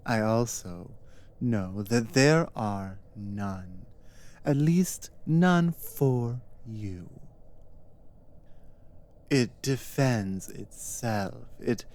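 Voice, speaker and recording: A performer playing a powerful, stern giantess captor in an ASMR roleplay, speaking in a deliberate, menacing and condescending way. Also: medium pitch, expressive, darkish tone. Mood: stern, mocking.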